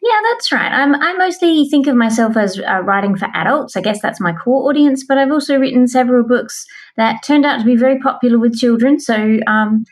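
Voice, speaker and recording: Woman with marked vocal fry. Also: on the high side. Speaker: interview guest, science and natural history writer. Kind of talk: conversational interview.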